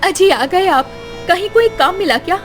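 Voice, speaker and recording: A woman's voice: very high-pitched, lively intonation, moderate pace, faint music behind, pitched above the performer's own voice. A woman acting a poor, long-suffering wife and mother in a cartoon moral story, tender, plaintive and emotional. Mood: worried.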